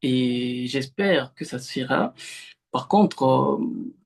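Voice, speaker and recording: Hesitant gravelly man, husky voice. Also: medium pitch, lively intonation, slow. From Democratic Republic of the Congo. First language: Swahili. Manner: hesitant, many fillers and restarts. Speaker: novelist, interview guest. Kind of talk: literary interview answers.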